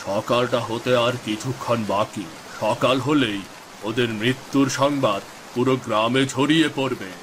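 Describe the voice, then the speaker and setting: A man's voice: medium pitch, fairly even intonation, moderate pace, close to an everyday voice. A man voicing a scheming, gloating village landowner in a cartoon story, commanding and conspiratorial in manner. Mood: smug, scheming. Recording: rain noise behind the voice.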